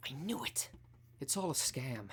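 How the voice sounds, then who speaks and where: A man's voice: lowish voice, steady tone, normal speed, gravelly, crowd noise. A man playing a determined, protective undercover investigator in an audio roleplay, talking in a direct, earnest way. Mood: indignant, determined. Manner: direct, earnest, plain-spoken.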